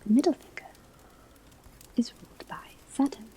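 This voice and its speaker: A woman voicing a calm professor of divination at a witch school, in a soft, breathy whispered ASMR lecture with measured, instructive delivery. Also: lightly acted. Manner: slow, deliberate, measured, instructive. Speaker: ASMR roleplay performer. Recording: clear background ambience noise. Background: classroom ambience, crackling fireplace, pages turning.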